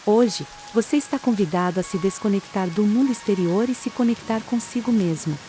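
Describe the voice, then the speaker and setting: A woman with a Brazilian accent calmly guides a relaxation meditation, reading in a soothing, unhurried manner with pauses between phrases. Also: mid-range voice, faint music behind. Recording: steady rain sound behind the voice. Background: soft rain.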